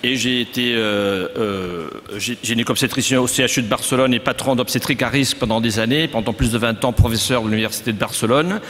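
A man in his fifties with a Spanish accent, speaking as a gynecologist guest on a conference panel, frank and often pausing to find his French words. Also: mid-range voice, a bit bright. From Spain. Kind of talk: panel discussion. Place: conference stage. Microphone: stand mic at table. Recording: reverberant hall.

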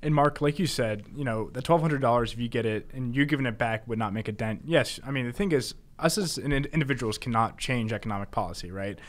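A young man speaks into a boom mic in a small studio. He explains financial points in a measured, even manner. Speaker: financial advisor, show co-host. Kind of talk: radio talk show commentary. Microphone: studio boom mic with headphones.

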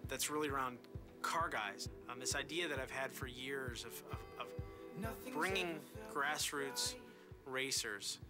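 Measured male executive, mid pitch, music bed. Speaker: marketing executive. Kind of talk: interview sound bites.

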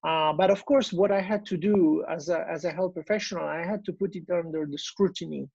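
Italian man, gravelly husky voice. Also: on the high side, brisk, a little mellow, no echo, highs cut off. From Italy. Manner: hesitant, many fillers and restarts. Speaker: guest speaker. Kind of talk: business presentation. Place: small room at home, indoors. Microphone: earbuds on video call.

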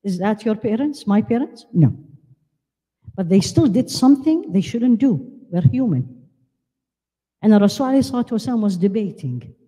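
An older woman born in Iraq, giving an animated religious lecture at a women's conference, holding a handheld mic and asking the audience questions. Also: mid-range voice, moderate pace, long pauses, slightly rough. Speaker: Islamic lecturer. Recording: long hall reverb.

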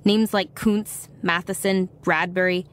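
An actress voicing a wry, troubled teenage girl who narrates an audio drama in a confiding, measured way. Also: on the low side, steady tone, lightly acted. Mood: confiding, brooding.

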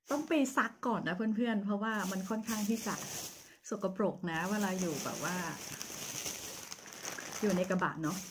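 A woman in her thirties from Thailand chatting to viewers in a casual haul vlog, recorded on a phone in a small bright room. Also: mid-range voice, slight background noise. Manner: chatty and friendly, addresses viewers often. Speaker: vlogger.

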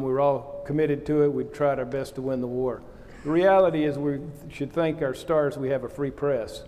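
An older man speaks bluntly and plainly on an auditorium stage, his voice sitting a bit high for a man. His words carry a long hall reverb around them. He sounds like a seasoned officer who says what he thinks. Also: dark tone. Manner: blunt, opinionated, plain-spoken. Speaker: panel guest, retired naval officer. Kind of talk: candid panel answer.

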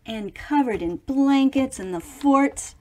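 Very animated, high-voiced woman reading storybooks. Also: slow pace. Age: middle-aged. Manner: theatrical, exclaiming, stretching words for young listeners. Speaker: children's storybook reader. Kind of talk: expressive read-aloud of a picture book with chatty asides on the pictures.

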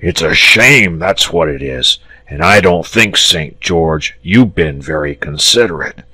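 A big, lazy storybook dragon, as a male narrator plays him, low-pitched and slow. He is jovial and very pleased with himself, talking in a chummy, pompous drawl. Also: expressive, bright, forceful tone, no room sound, heavily acted. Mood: blustering. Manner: drawling, pompous, chummy old-fashioned British phrasing. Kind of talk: storybook character voice.

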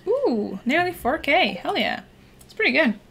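A woman's voice: sits high, moderate pace, creaky, noisy background. Chatty Norwegian woman streaming a game. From Norway. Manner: relaxed, chatty, many "like" fillers, swears casually. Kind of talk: casual chat while gaming. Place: home room, indoors. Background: soft game music.

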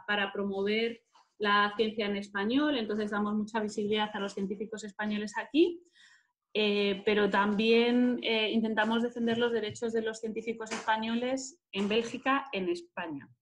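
A woman's voice: mid-range voice, steady tone, normal speed. Woman with a Spain Spanish accent. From Madrid, Spain. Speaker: interviewee, market manager and scientist. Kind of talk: conversational interview answers. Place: indoors, plain-walled room, video call. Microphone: earphone headset on video call.

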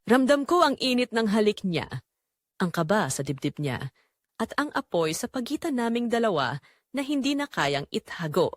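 A woman's voice: a little high, sing-song, moderate pace, no echo, clean studio sound. A woman playing a guilt-ridden young woman who confesses a forbidden love in an emotive, dramatic audio drama narration. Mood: tense longing.

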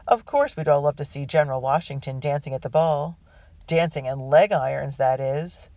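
A woman's voice: sits low, normal speed, mellow, dry recording. A woman voicing a witty colonial girl who teases and mocks in an audiobook. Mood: teasing. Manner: teasing, sly.

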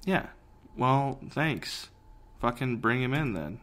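A man's voice: mid-range voice, expressive, takes it slowly, a bit bright. A man co-hosting a casual podcast, talking in a dry, self-deprecating way and mumbling while he searches something up. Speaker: podcast co-host. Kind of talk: casual chat.

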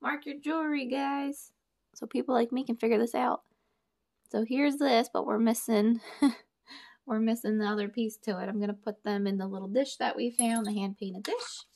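Young woman chatting to her viewers while she sorts stuff, lots of vocal fry, talks things through out loud. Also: fairly high pitch, steady tone, moderate pace. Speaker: storage unit reseller and vlogger. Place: indoors at a table. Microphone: camera over a table, hands only in frame.

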